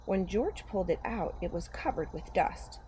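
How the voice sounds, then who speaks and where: A woman reading a picture book aloud to young children in an expressive, simple storytelling manner. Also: lowish voice, moderate pace, slight background noise. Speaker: children's storytime host, gymnastics coach.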